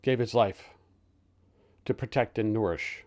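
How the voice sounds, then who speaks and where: A man performing a dignified, grieving starship captain giving a measured, formal eulogy in a theatrical film monologue. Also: fairly low pitch, darkish tone, lightly acted. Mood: solemn, grieving.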